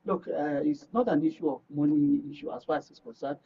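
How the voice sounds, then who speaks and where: A man with a Nigerian accent commenting as a guest on a live political panel, explaining in a halting, measured way. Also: a little high, husky. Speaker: studio guest, public affairs commentator. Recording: muffled, distant-mic broadcast audio.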